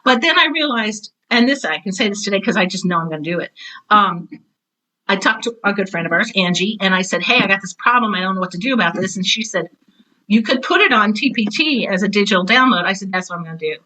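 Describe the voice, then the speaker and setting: A middle-aged woman chatting casually and enthusiastically as an interview guest, telling stories in an animated way and acting out what people said. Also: mid-range voice, fast talker, poor audio. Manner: animated storyteller, acts out quoted remarks. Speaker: interview guest, drama teacher and author.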